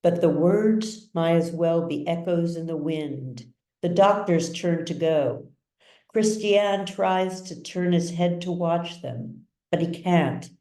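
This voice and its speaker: Female story narrator: very unhurried, measured and dramatic, slightly low, recorded in a roomy space. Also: dark tone. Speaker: author reading own novel. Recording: roomy echo, distant mic.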